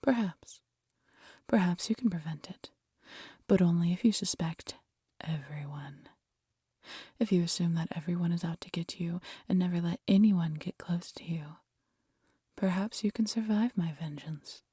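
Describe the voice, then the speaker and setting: A woman playing a proud, vengeful demon queen in an audio roleplay, theatrical and menacing, often dropping to a breathy hush. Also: lowish voice, normal speed, dry recording, clean studio sound. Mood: hushed gloating menace. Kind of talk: scripted audio roleplay addressed to listener.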